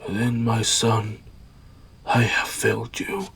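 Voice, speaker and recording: A man playing a stern old warrior father and master in an audio drama, speaking in a solemn, measured way. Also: fairly low pitch, animated, vocal fry, background noise, heavily acted. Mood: sorrowful, grave. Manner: solemn, measured, sage-like delivery.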